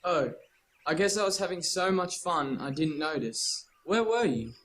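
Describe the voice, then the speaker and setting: A cheeky Australian schoolboy with a notably high voice. He is talkative, eager and teasing, in the style of a nostalgic radio play. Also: animated, normal speed, a bit bright. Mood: puzzled. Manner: chatty, teasing.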